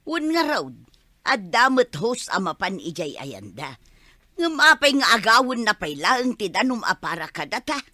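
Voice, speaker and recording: A woman's voice: fairly high pitch, sing-song, normal speed, bright, forceful tone, dry recording, studio quality, full character voice. A woman playing a chatty, friendly but readily indignant neighbour, speaking emphatically in a comic radio drama. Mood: aggrieved.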